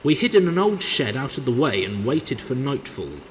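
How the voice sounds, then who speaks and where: A man's voice: medium pitch, sing-song, normal speed, slight background noise, close to an everyday voice. A man with a British accent voicing railway engines and railwaymen in a scripted storybook audio drama. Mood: earnest.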